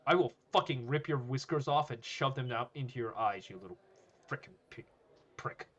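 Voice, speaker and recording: Swaggering male high school bully voiced by a male narrator, forceful and rough-edged, taunting and boastful. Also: sing-song, normal speed, muffled recording, exaggerated voice. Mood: threatening, smug.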